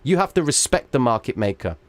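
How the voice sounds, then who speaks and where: A man's voice: medium pitch, very animated, fairly quick, no echo. Male trading streamer, losing his voice. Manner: emphatic, checks in with "all right?" often. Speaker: trading livestream host. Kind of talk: live chart walkthrough to viewers.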